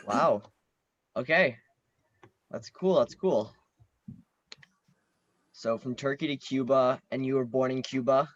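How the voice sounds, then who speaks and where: Young male interviewer, mid-range voice, slow laid-back delivery, dull recording. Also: mid-range voice, no echo. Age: teen or young adult. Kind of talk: casual interview. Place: online video call. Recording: dull lo-fi call audio.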